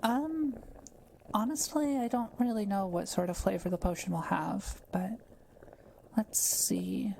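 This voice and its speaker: A woman playing a kind, quiet witch in an audio roleplay, speaking gently and carefully as she reassures and explains things to the listener. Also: mid-range voice, unhurried, creaky, a little hiss or hum, poor audio. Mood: thoughtful, focused. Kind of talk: soft-spoken roleplay audio, talking to the listener.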